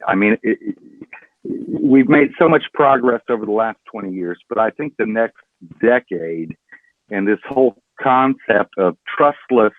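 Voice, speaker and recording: A middle-aged man talking earnestly and deliberately as a podcast guest in a casual interview. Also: on the low side, no room sound. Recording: tinny, phone-like call audio.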